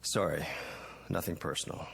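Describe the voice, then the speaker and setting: A man's voice: a bass voice, steady tone, moderate pace, vocal fry, a bit bright, slightly rough, full character voice. An actor playing a proud, defensive working man, earnest and curt, in a vintage TV drama. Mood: tense, defensive. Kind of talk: 1960s television drama acting. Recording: reverberant vintage TV soundtrack.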